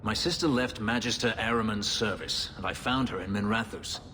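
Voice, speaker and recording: A man's voice: fairly low pitch, normal speed, pushed and bright, in a large hall, a little hiss or hum. An actor playing a bitter, brooding male elf warrior and former slave, speaking in a grave, terse, restrained way. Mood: worried.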